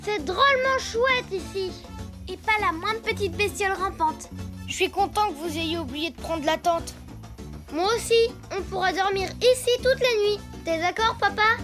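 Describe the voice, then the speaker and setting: A woman's voice: extremely high pitch, normal speed, over music. A woman playing plaintive, chatty little elephant children in a children's cartoon, with a childlike, whiny delivery. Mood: contented. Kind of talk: dubbed children's cartoon acting.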